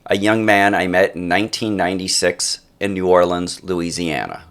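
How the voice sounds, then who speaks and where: A middle-aged man hosting a podcast interview, a former teacher who tells rambling, animated stories full of restarts. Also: low-pitched, normal speed. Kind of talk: conversational interview.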